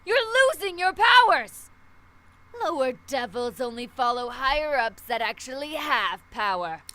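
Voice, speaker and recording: A woman's voice: high voice, expressive, unhurried, bright, forceful tone, exaggerated voice. A woman voicing a commanding, impatient female devil in a visual novel game, biting and contemptuous. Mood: scornful.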